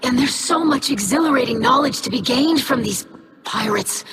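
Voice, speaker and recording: An actress playing an earnest, curious young alien scholar in a video game. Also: female voice, high voice, animated, normal speed, a bit bright. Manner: earnest, eager.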